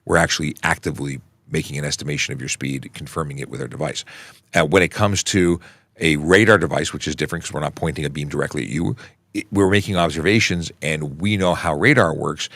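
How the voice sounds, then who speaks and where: A man's voice: extremely low pitch, fast talker, creaky, a bit bright, no room sound. A middle-aged man from Canada, a traffic police officer hosting a casual livestream Q&A, reading viewer questions and answering them plainly into a close microphone. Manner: chatty, reads questions aloud and answers plainly. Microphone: close studio microphone.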